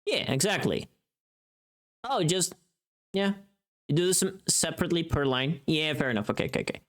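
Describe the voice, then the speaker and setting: Low-voiced woman streamer, animated and playful. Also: normal speed. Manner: playful, teasing, informal with swearing. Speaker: livestreamer. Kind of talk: casual livestream chat.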